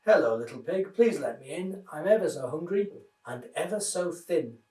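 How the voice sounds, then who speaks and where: A man voicing a big bad hungry wolf in a children's storybook, greedy and menacing, with a deep growl. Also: expressive, normal speed, darkish tone, natural voice. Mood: wheedling. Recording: roomy echo, distant microphone.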